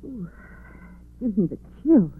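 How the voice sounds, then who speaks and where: An actress in a 1940s radio drama playing a sleuth's excitable, inquisitive lady companion. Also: medium pitch, slow pace, slightly rough, full character voice. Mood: curious, uneasy. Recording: tinny, narrow 1940s radio recording.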